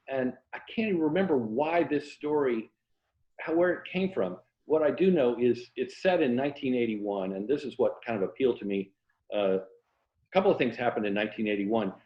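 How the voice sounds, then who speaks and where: A man's voice: mid-range voice, lively intonation, moderate pace. An American man speaking reflectively and anecdotally in a casual interview, with a self-deprecating way of talking about his writing. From Florida, USA. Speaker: interview guest, fiction writer and former journalist. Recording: echoey room, slightly dull lo-fi audio.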